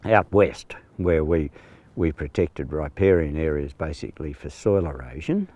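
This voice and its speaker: Deep-voiced older Australian farmer. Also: male voice, expressive, a little slow, mellow. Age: 60s. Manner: plain-spoken, hesitant with many fillers. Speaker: farm manager. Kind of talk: on-location interview. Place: outdoors on a rural farm paddock.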